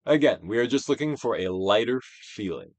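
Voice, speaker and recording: Male stage-actor narrator for a guided self-help reading. Grand, declamatory, very wide melodic range, drawn-out emphasis, recorded dry. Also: medium pitch, animated, dry recording. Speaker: audiobook reader, actor. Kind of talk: theatrical dramatic reading of a self-help book.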